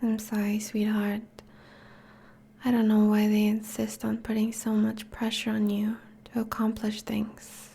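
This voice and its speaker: A woman playing a teasing, affectionate vampire girlfriend in an intimate ASMR roleplay, speaking softly in a breathy, whispery voice. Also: mid-range voice, completely flat delivery, measured pace. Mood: tender, reassuring. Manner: soft, teasing, languid.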